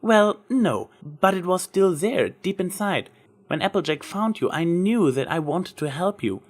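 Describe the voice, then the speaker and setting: A man voicing a gentle, sincere and smitten female unicorn with a soft, earnest manner in a story reading. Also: high voice, lively intonation, measured pace, pitched above the performer's own voice. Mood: admiring, sincere.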